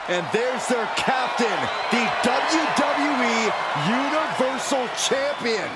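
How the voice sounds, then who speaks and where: A man playing an excitable wrestling commentator calling action in a video game. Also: very high voice, slow pace. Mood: excited. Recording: loud arena noise with slams.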